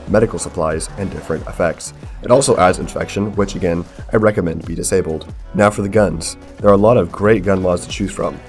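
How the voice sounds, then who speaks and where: A man narrating a scripted gaming tutorial in a measured, explanatory way, as a video creator. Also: low voice, a little mellow. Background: background music.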